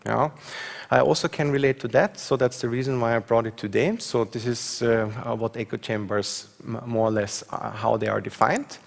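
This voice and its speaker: A man's voice: mid-range voice, steady tone, normal speed, creaky. A man from Austria with an Austrian accent, a university professor giving a conference talk with slides, hesitant and full of fillers, often checking in with the audience. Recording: long hall reverb.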